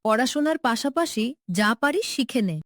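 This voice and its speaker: Gentle, poor village mother for a cartoon, female actor: slightly high, expressive voice, caring advice, noisy recording. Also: normal speed. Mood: loving, advising. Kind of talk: cartoon story dialogue.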